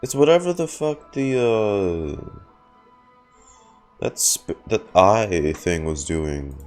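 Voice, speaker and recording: Casting: male streamer, low register, leisurely pace, musing off-the-cuff reaction commentary with soft game music underneath. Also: lively intonation, takes it slowly. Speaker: video game streamer reacting to a story quest. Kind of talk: casual live reaction commentary.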